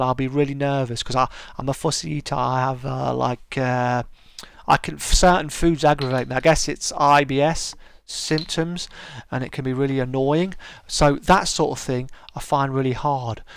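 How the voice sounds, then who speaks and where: A man's voice: mid-range voice, normal speed, no room sound. A middle-aged man with a soothing voice chats casually with his live-stream viewers, friendly and full of restarts. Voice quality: smooth, soothing voice. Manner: chatty and friendly, with many restarts and stammers. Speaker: streamer hosting a live chat. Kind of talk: casual live-stream chat, reading and answering comments.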